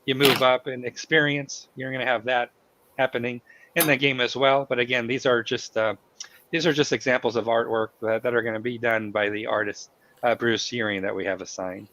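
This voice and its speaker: A man with a cold hosting a live company stream, talking in halting bursts full of fillers and restarts. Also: mid-range voice, steady tone, a bit bright, a little hiss or hum. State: has a cold, losing his voice. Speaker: host of company town hall stream.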